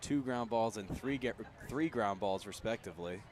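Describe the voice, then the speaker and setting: An American man giving conversational, unhurried color commentary on a live baseball radio broadcast. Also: lowish voice, darkish tone. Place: outdoor ballpark broadcast.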